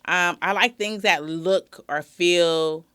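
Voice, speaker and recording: A woman's voice: on the low side, slow, fairly bright tone, clean tone, no echo, studio quality. A woman in casual, playful conversation, teasing and stretching out words. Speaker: guest. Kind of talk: casual chat.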